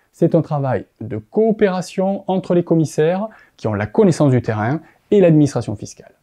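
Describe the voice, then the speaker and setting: Very dark-voiced French man presenting. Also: on the high side. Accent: French from France. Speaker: presenter of explainer videos. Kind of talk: scripted explainer narration.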